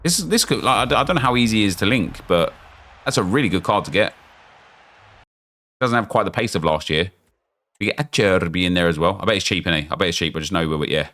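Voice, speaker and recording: A man, chatty and excitable, thinking aloud as he opens packs in a football game, losing his voice and getting unwell. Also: low-pitched, fast talker. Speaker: gaming YouTuber. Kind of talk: casual pack-opening commentary.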